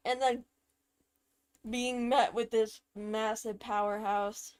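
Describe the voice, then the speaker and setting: A woman's voice: fairly high pitch, steady tone, takes it slowly, smooth. A woman casually commentating as she streams a video game, playful and prone to little sing-song noises. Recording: game music behind.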